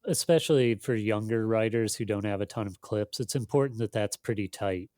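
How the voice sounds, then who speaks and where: A man's voice: lowish voice, darkish tone, no echo. Man thinking aloud in a dry room. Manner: thinking aloud, halting, peppered with "you know" and "like". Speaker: magazine editor being interviewed. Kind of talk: casual interview talk.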